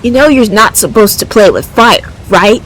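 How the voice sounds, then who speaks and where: Blunt game sidekick, voiced by a woman, medium pitch, bossy, teasing, casual, background noise. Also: lively intonation, moderate pace, lightly acted, poor audio. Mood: suspicious. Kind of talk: video game character voicing.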